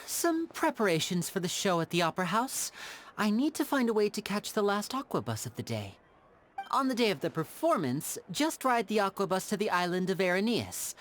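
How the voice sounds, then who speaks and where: A woman's voice: mid-range voice, big pitch swings, normal speed, slight background noise, lightly acted. A woman playing a charming young male stage magician and caring older brother in an anime game, polite and theatrical. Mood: brisk, teasing.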